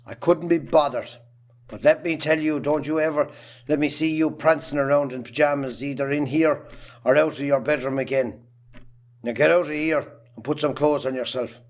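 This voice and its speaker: A man playing a domineering farmer father in an amateur drama, with a rural Irish accent and a gruff, scolding manner. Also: on the high side, fairly even intonation, moderate pace, lightly acted. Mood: indignant, scolding. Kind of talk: amateur radio-style stage drama acting.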